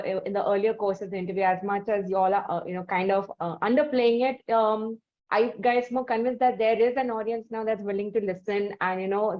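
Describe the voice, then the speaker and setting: Female host, slightly dull audio. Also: medium pitch. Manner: long questions with many fillers. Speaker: interview host. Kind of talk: interviewing.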